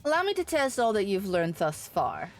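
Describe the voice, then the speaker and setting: A formal combat instructor from a fantasy video game, read aloud by a woman. The delivery is measured and encouraging, explaining drills and approving of the trainee. Faint music plays underneath. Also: mid-range voice, very animated.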